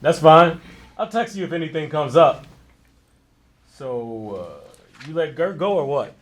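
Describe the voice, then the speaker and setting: Man playing an animated, blunt bar employee. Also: fairly high pitch, a little slow. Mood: exasperated. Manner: blunt, incredulous, casual. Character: blunt, exasperated male bar employee and friend. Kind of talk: live comedy table read.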